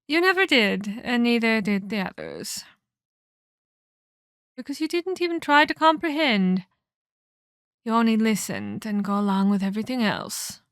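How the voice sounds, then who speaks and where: Cruel ancient female vampire, played by a woman, for ASMR drama: very slow, close and dry, condescending menace. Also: fairly high pitch, a bit bright, smooth, dry recording, full character voice. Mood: cold, scornful. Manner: menacing, condescending, deliberate theatrical delivery with whispered emphasis. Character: cruel, domineering ancient female vampire scolding her captive pupil. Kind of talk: dramatic ASMR roleplay acting.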